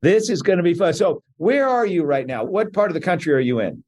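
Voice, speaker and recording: A man's voice: high-pitched, lively intonation, a little fast, darkish tone. A man hosting a podcast, reading a scripted intro and then interviewing a guest. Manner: reads intro steadily, lists credentials. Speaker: podcast host.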